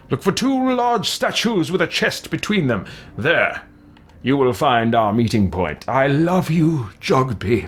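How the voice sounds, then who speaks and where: A man reading aloud as a loving father writing to his son in a video game, with measured, deliberate phrasing. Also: medium pitch, slightly rough, full character voice.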